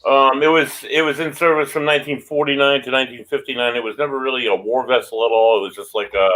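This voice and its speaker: Bright-voiced man recounting earnestly. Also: mid-range voice, steady tone, low-quality recording. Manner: earnest, halting recounting with restarts. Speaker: podcast co-host, paranormal investigator. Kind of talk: casual chat.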